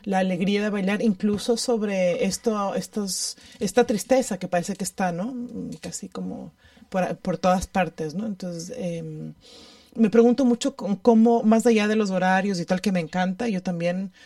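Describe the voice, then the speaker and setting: A woman speaking reflectively in conversation, thoughtful and halting, repeating words for emphasis. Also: mid-range voice, mellow, no room sound, recorded from a distance. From Ecuador. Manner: halting, thoughtful, emphatic repetition, question tags.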